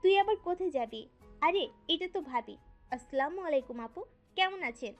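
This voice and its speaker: A brash young student lad, voiced by a woman, speaks in a very high voice with slangy, exclamatory chatter. It is an audio drama with music underneath. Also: animated, normal speed, lo-fi sound. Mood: teasing.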